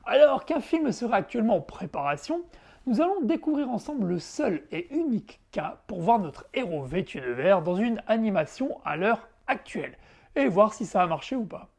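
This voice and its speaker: A woman's voice: mid-range voice, sing-song, normal speed, darkish tone, husky, no room sound. A woman hosting a retro game show, narrating a review in a frank, conversational way with casual asides. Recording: background music under the voice.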